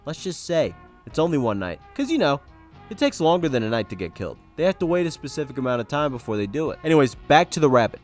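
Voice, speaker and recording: A man's voice: a little high, sing-song, quick pace, faint music behind. A man giving casual scripted commentary with joking asides and a dry, sarcastic, understated delivery. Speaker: video essayist, host.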